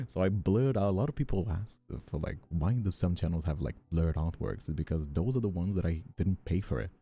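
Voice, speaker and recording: Young male streamer from Brazil, dark mellow tone, animated delivery, rambling and self-deprecating casual chat. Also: low voice, a little fast, slightly rough, faint music behind. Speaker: ASMR voice actor and streamer.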